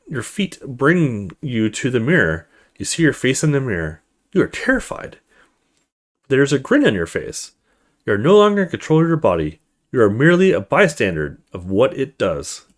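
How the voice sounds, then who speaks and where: A man doing a let's play, reading a horror text game aloud dramatically, stumbling now and then and adding casual asides. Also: mid-range voice. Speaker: video game streamer.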